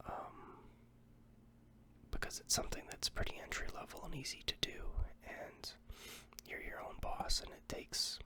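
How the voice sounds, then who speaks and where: A person in a fully unvoiced ASMR whisper, a little stuffy from a cold, rambling casually with many ums into a close microphone. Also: medium pitch. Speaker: ASMR vlogger.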